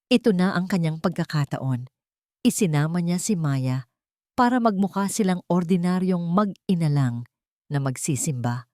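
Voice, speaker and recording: A woman's voice: fairly low pitch, animated, moderate pace, a little mellow, dry recording, studio quality. A woman reading dramatic scripted fiction as a story narrator.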